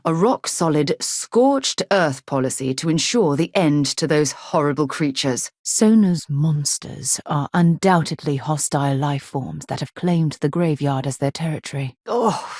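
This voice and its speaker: An actress playing a principled, earnest ex-military space explorer in a video game, articulate and expressive. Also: female voice, sits low, breathy edge, dry recording, studio quality, full character voice. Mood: wry, composed. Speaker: companion character in a space game.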